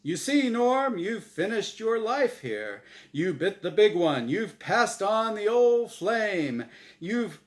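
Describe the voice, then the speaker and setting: A man acts out a brash angel game-show host from a comic story. He booms with glib announcer patter, pitched up high and swooping with showmanship. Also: sing-song, slow, exaggerated voice. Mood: glib, upbeat.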